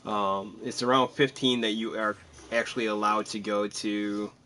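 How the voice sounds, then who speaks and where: A man's voice: on the low side, level tone, moderate pace, bright, forceful tone. A man casually commentating on his live game stream, chatty and self-deprecating, thinking aloud as he plays.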